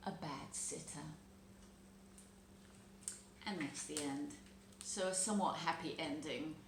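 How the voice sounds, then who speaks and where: Female storybook reader, slightly low voice, very expressive intonation, some background noise. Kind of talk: expressive children's story narration. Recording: roomy echo, background noise.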